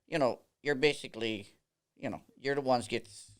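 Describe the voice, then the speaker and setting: Man, smooth clear voice, chatty storyteller, lots of fillers. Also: mid-range voice, moderate pace. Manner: animated storyteller, laughs through anecdotes, many fillers. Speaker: baseball umpire, podcast guest. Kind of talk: casual storytelling chat.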